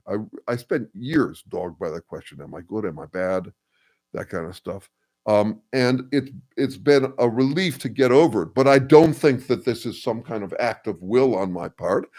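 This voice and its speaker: An older man hosting a casual livestream, thinking aloud with many ums, uhs and restarts as he answers viewers, and describing his own voice as a bass. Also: darkish tone. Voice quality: says he has a bass voice. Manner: thoughtful, halting, full of ums and restarts. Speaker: host answering viewer comments.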